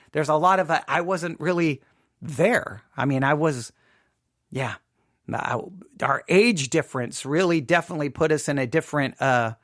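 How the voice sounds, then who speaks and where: A man with a slightly high-pitched voice gives informal personal commentary as a host. He is emphatic and often halting, circling back to repeat words and phrases for weight. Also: a bit bright. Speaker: podcast host.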